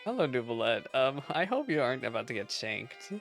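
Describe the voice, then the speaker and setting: A woman's voice: a bass voice, sing-song, brisk. A woman giving casual reaction commentary as she plays through a game. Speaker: gaming streamer. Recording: game music behind voice.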